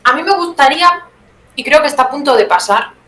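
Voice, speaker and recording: A woman's voice: sits high, animated, a little fast, bright, forceful tone. A woman from Spain with a Spanish accent, giving reflective, self-correcting answers in a conversational interview. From Teruel, Spain. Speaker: guest playwright being interviewed. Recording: roomy echo, some background noise.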